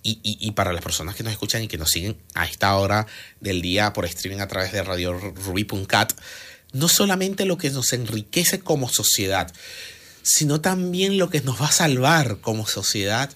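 A man hosting a streamed radio program, interviewing a guest and offering measured, reflective commentary. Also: on the low side, animated, fairly bright tone. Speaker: radio host.

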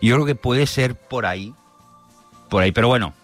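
A man's voice: medium pitch, moderate pace. A man co-hosting a casual talk show in an informal, rambling way. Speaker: sports talk show co-host. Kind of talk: casual chat. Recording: music playing behind the talk.

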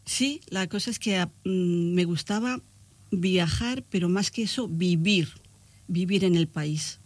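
A woman's voice: fairly low pitch, a little slow, no echo. An older woman from Spain, reminiscing as an interview guest in a reflective way, often searching for words. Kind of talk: conversational interview, personal reminiscing.

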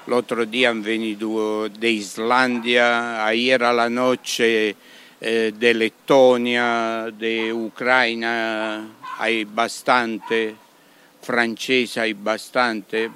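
A middle-aged man from Italy with an Italian accent, a restaurant owner being interviewed, speaking haltingly and slipping Italian words into his sentences. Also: mid-range voice, fairly bright tone, a little hiss or hum. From Italy, Amalfi coast area. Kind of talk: interview guest.

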